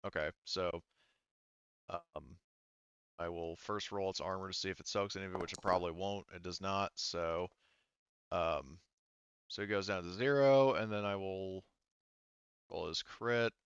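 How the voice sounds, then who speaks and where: Low, smooth-voiced man running a game. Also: fairly bright tone, no echo, muffled recording. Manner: halting, thinking aloud while adjudicating rules. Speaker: game master. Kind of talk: casual tabletop roleplaying game narration.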